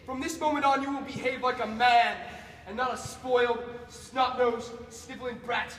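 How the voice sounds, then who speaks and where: High-pitched, manic mad scientist. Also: male voice, fairly even intonation, normal speed. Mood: furious scolding. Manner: melodramatic, swinging from hushed coaxing to shouting. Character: manic, grandiose mad scientist creating a living monster. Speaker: actor. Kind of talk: theatrical parody of old horror melodrama. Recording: echoey hall, distant, loud background noise.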